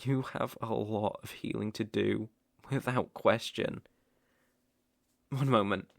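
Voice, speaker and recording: A British man playing a devoted, possessive stalker in an intimate ASMR roleplay, tender and wry with halting phrasing. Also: on the low side, fairly even intonation, gravelly, no room sound. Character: devoted, possessive male stalker, outdoorsy and softly protective.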